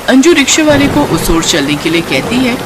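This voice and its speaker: A woman's voice: a little high, big pitch swings, normal speed, background noise. A woman reading scripted narration for a moral story as its narrator.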